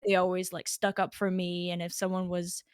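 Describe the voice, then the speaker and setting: A woman's voice: fairly low pitch, monotone, moderate pace, smooth, no room sound, clean studio sound. A young woman as a podcast guest, talking in a chatty way with lots of filler words. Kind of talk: casual podcast conversation.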